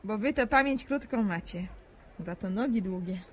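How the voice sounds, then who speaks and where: Radio drama casting: actress as coy, sharp-tongued young village woman, medium pitch, animated delivery. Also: moderate pace, highs cut off, lightly acted. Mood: tart, wounded pride. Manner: coy, tart, colloquial rural speech. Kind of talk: naturalistic Polish radio drama acting. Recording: radio drama with faint background noise.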